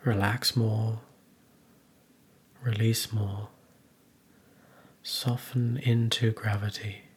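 Slow, husky man guiding meditation. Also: fairly low pitch, flat delivery. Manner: gentle, measured, with long pauses between phrases. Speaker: guided meditation teacher. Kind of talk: guided meditation, soothing instruction.

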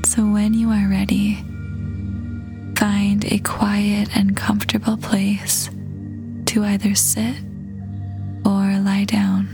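Soft breathy woman doing guided meditation, super even calm tone, music behind her. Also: medium pitch. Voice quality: soft, breathy, half-whispered. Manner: gentle, calm, unhurried with long pauses. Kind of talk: guided meditation, slow soothing narration. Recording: voice over prominent background music.

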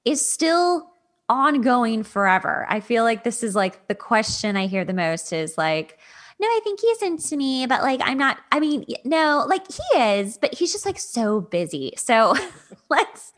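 A woman's voice: fairly high pitch, big pitch swings, a little slow, a bit bright. Casual woman hosting a chatty podcast. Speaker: podcast host. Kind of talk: casual podcast chat about dating.

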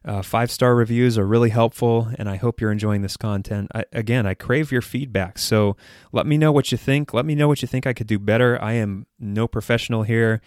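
A man's voice: mid-range voice, brisk, hardly any pauses, darkish tone, dry recording, studio quality. A man hosting a solo podcast, partly scripted, speaking in an earnest, sincere manner. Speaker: podcast host.

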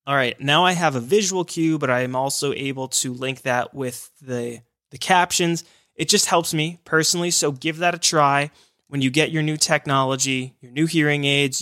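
A man's voice: fairly high pitch, a bit bright, dry recording, studio quality. A man who works in hearing care, explaining signs of hearing loss in an earnest, encouraging podcast talk.

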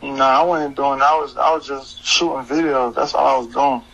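A man's voice: medium pitch, steady tone, normal speed, a bit bright, muffled recording. A young man from Haiti and Florida chatting casually as an interview guest, slangy and repeating his phrases. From Haiti, moved to the US at eleven; Florida. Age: young adult. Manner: slangy, repeats phrases, often says "you know what I'm saying". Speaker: interview guest, hip-hop artist. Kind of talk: casual chat. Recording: clearly noisy background ambience.

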